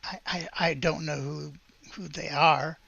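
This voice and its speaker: A worried, worn-out orphanage matron in a tabletop game, acted out by a man. The voice is raspy and creaky, with a bright edge. She speaks haltingly and quietly, trailing through careful answers. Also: on the high side, fairly even intonation, no echo, highs cut off, exaggerated voice. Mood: hesitant, worried. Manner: hesitant, halting, subdued. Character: worried, weary woman who runs an orphanage.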